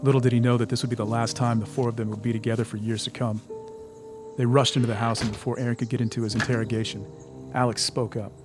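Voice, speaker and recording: A man's voice: mid-range voice, level tone, fast. A man narrating a scripted audio drama in a suspenseful storytelling manner. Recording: music bed under the voice.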